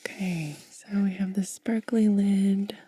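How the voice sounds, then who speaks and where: A woman hosting ASMR, speaking softly in a breathy, hushed whisper and lingering over short phrases. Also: mid-range voice, steady tone, slow pace, no echo. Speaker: ASMR video host. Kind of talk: soft-spoken ASMR.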